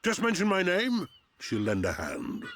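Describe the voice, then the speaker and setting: An actor playing a kindly, folksy old village elder in a video game, with a wry, avuncular manner. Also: male voice, a little high, wildly swooping pitch, a little slow, a bit bright, slight background noise, exaggerated voice. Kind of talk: video game character acting.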